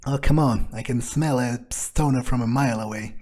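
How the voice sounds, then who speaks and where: A man's voice: mid-range voice, moderate pace, darkish tone. A man doing in-character gameplay commentary, thinking aloud in a musing, muttering, crude way. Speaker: role-playing game streamer, speaking in character. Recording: faint noise and game ambience.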